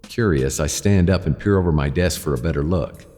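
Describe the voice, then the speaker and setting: A man playing a wry, world-weary private detective, narrating his case deadpan in a hardboiled radio drama. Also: a bass voice, a little fast, big-room sound. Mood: wry, puzzled. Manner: deadpan, sardonic.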